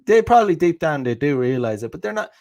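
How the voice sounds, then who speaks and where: A man's voice: a little high, very animated, fast, a little mellow. Rambling Irish man, swearing freely. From Ireland. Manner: rambling, profane, many restarts. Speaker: podcast host. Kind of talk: casual livestream monologue.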